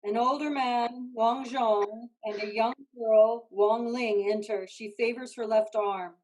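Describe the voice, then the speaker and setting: A woman's voice: a little high, fairly even intonation, slow, darkish tone, rough recording. A woman reading stage directions as scripted narration for a play.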